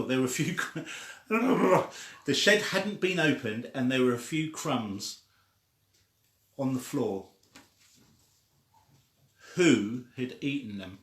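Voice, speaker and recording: Slow-paced older man reading a story, echoey. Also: medium pitch, a bit bright. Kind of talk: informal read-aloud children's story. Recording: echoey room.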